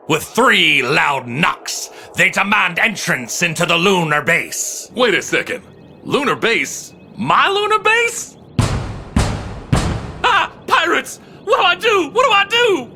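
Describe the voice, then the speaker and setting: An excitable cartoon radio DJ, with a very bright, forceful voice that swings widely in pitch. The delivery is showy and chatty, like a theatrical announcer spinning a yarn, with a creaky edge. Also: male voice, slight background noise, full character voice. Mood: panicked. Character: excitable, jumpy male pirate-radio DJ living alone on a moon base. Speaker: radio DJ and narrator.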